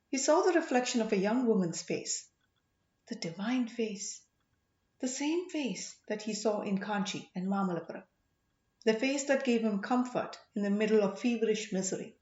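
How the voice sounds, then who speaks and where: Expressive woman narrating, very lively pitch. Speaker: audiobook narrator. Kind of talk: dramatic scripted story narration. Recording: echoey room, slightly muffled sound.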